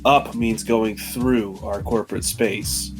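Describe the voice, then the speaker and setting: A man's voice: on the low side, steady tone, takes it slowly, over music, rough recording. A man playing a tabletop game, talking casually through plans with the group. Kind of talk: casual in-game planning banter.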